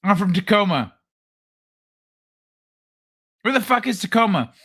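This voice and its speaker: A man's voice: high voice, very animated, measured pace, a bit bright, breathy edge. A man from Southern California hosting a casual livestream, chatting loosely with viewers, swearing freely and laughing often. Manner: loose and profane, laughs a lot. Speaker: livestream host. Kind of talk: casual livestream chat, reading chat comments.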